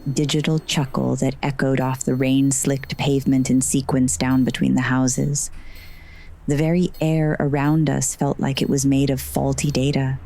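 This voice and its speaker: A woman's voice: sits low, dark tone. A woman playing a sardonic, frazzled heroine who narrates her magical adventure in first person in an audio drama. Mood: tense, atmospheric. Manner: wry, sarcastic asides.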